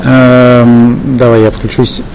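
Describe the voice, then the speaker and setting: A man asking technical questions as a podcast interviewer, pausing often as he phrases them. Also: medium pitch, completely flat delivery, a crowd in the background, rough recording.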